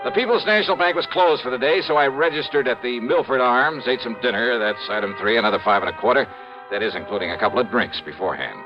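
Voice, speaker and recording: A muffled old radio broadcast with music carries an actor as a wry American male investigator. His pitch moves freely and animatedly. He is confident and dry, narrating and sparring in 1950s detective style. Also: lively intonation, quick pace, natural voice. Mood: wry narration.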